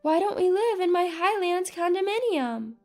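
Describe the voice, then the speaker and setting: Woman voicing a modest older mother, high-pitched. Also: animated, normal speed, a little mellow, soft background music. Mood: earnest, apologetic. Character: modest, practical older mother in a family drama.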